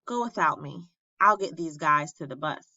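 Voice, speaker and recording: A woman's voice: low-pitched, lively intonation, normal speed, sharp tone, no echo, dull audio, voice pushed down, full character voice. A woman voicing an earnest, caring young girl who looks after her little brother in a storybook. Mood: earnest, resigned. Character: young girl narrator, caring, dutiful big sister.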